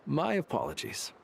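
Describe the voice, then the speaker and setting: A man's voice: a little high, creaky, a bit bright, a little hiss or hum, full character voice. An actor playing a modest, formal, dryly witty man in a video game. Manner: formal, elaborate politeness.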